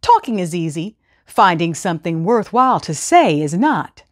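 A woman's voice: on the low side, big pitch swings, moderate pace, dry recording, studio quality. A woman voicing a wise talking skull in a storybook, uninterested and dryly matter-of-fact. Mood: bored, dry.

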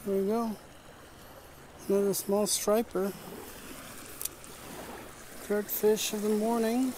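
Slow-talking, mellow outdoor fishing vlogger. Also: mid-range voice, flat delivery. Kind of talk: casual outdoor fishing vlog commentary. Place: outdoors on an open lake shore. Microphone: chest or head-mounted action camera. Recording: outdoor action camera with clear ambient noise.

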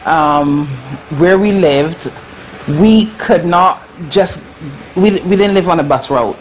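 A Barbadian woman in her forties, remembering her school days in a slow, halting way as an interview guest on a video call from her living room. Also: fairly low pitch, slight background noise, low-quality recording. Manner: slow, reflective, halting with fillers. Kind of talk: casual recollection. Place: living room at home, indoors. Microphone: webcam on a video call.

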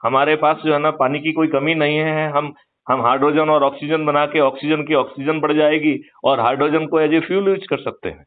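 A man's voice: fairly high pitch, fairly even intonation, normal speed. Older man lecturing over a phone line. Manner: emphatic, lecturing, seeking agreement with rhetorical questions. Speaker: activist, retired police officer. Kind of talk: persuasive political talk on a phone call. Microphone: phone call. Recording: muffled narrow-band phone call recording.

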